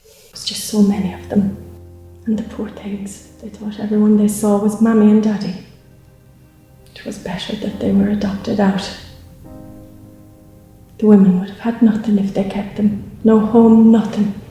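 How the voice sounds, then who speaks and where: An actress playing a former children's home worker, reminiscing plainly and wistfully in a dramatised testimony. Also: female voice, mid-range voice, little pitch movement, moderate pace, pauses often, mellow, lightly acted. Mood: resigned, firm. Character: matter-of-fact former mother and baby home worker, reminiscing. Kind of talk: dramatised first-person testimony. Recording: very echoey room, faint music.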